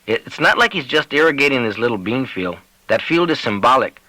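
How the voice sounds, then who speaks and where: A man's voice: mid-range voice, lively intonation, a little fast, pushed and bright, dry recording, low-quality recording. A man voicing a weary small-town sheriff in an audiobook, folksy and uncertain. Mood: worried.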